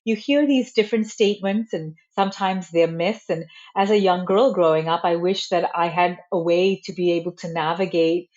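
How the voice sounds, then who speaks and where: Woman psychologist, podcast guest, measured explainer, a touch low, dull sound. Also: a little mellow. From Malaysia. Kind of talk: podcast interview answers.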